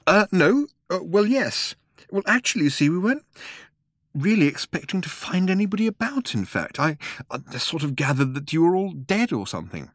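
A man voicing a flustered, awkward young man who stammers and fumbles for words in a high, strained voice. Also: sing-song, normal speed, a bit bright, no room sound, clean studio sound, full character voice.

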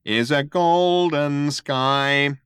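A man's voice: very high voice, expressive, sharp tone, no echo, clean studio sound, voice pushed up, full character voice. A man voicing a relentlessly cheerful, over-friendly spaceship computer with a nasal whine, for a comic science fiction story. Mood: crooning. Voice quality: nasal, whining. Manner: chirpy, over-friendly, breaks into slow sentimental song. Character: relentlessly cheerful chirpy male shipboard computer, whiny crooner.

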